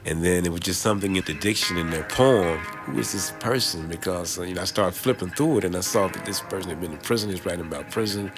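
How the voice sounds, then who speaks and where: A man's voice: low-pitched, fast talker, few pauses. A middle-aged man telling a personal story reflectively and informally, acting out his own reactions. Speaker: poet and professor. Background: guitar music.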